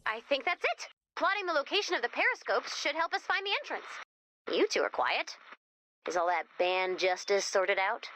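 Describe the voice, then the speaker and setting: An actress playing a perky, curious, focused explorer in a video game, eager and inquisitive. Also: female voice, fairly high pitch, lively intonation, moderate pace, bright, forceful tone, a little hiss or hum. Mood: bemused.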